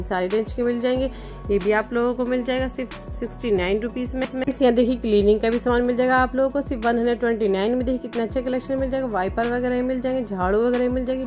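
A woman shopping vlogger walking through store aisles with her phone, pointing out products and prices in brisk, upbeat, salesy patter. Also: a little high, darkish tone. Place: indoor retail store aisles.

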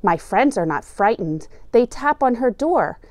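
Woman reading a picture book aloud, higher-pitched. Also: dry recording. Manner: deliberate, expressive storytelling with emphasized words. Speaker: second grade teacher reading aloud.

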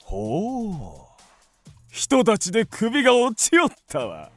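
An actor playing a cruel, gloating king of ancient China in a radio drama, with pompous, drawn-out, theatrical delivery. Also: extremely high pitch, wildly swooping pitch, a bit bright, exaggerated voice. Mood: menacing, gloating. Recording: music behind the voice.

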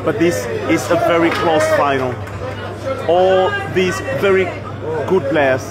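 A man's voice: fairly high pitch, slow pace. A man with a Kenyan accent giving chatty live commentary on a pool match for a stream, greeting viewers by name between shots. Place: pool tournament venue in Nairobi. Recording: loud crowd noise all around. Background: loud crowd.

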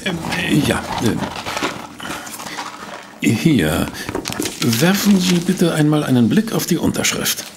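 An actor playing a detective professor's inquisitive sidekick in a German audio drama, polite and questioning. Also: male voice, fairly high pitch, sing-song, slow pace, pauses often, music in the background, heavily acted. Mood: puzzled. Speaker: investigator's companion and narrator.

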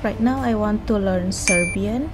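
A young woman from Indonesia, a beginner speaking haltingly on a video call with many pauses and fillers. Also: mid-range voice. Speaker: language learner. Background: music.

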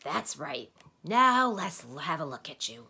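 A woman voicing a hoarse, croaking male doctor of noise who pesters visitors with insistent questions. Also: low voice, very animated, unhurried, bright, forceful tone, full character voice. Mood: gleeful, insistent. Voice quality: raspy, croaking.

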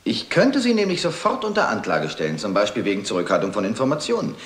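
A sceptical male chief inspector in a detective drama. His speech is brisk and very varied in tone, officially dry and condescending, with some room echo. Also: lowish voice, huge pitch swings. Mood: smug, dry. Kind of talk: German detective film dialogue.

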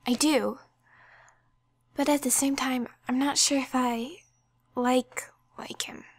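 Woman acting a high-voiced, gentle young friend. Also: steady tone, takes it slowly. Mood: hesitant. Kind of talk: fan audio drama acting.

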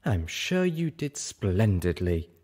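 A man's voice: low voice, slow, heavily acted. Genteel female unicorn male reader performing, gracious, diplomatic, lilting. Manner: courteous, flattering.

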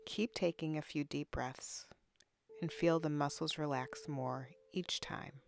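Slow, low-pitched woman guiding relaxation. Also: fairly even intonation, gravelly, a little hiss or hum. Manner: soothing, unhurried, instructional, with long pauses. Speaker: guided relaxation narrator, counselor. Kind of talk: guided body scan meditation.

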